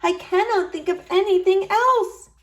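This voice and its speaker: A woman's voice: very high voice, measured pace, pitched above the performer's own voice. A woman voicing a sad young wife in a fairy tale, yearning and fretful. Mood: longing.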